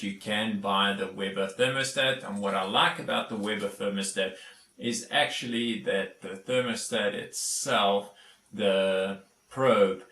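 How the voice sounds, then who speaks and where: A South African man whose first language is Afrikaans talks through a DIY job in a relaxed, chatty way, with lots of "uh" and "um" along the way. His voice sits low. The room around him is echoey. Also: far from the mic. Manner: chatty, explains step by step with many fillers. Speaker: YouTube DIY host. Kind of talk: casual how-to vlog.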